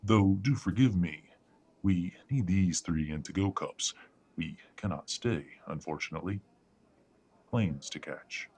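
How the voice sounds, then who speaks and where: A man's voice: deep voice, measured pace, dark tone, slightly rough, heavily acted. A man playing a middle-aged, imperious werewolf patriarch in an audio drama, speaking formally and condescendingly as he controls his family. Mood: commanding. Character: imperious wealthy werewolf patriarch, coldly polite, controlling father. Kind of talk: scripted audio drama acting.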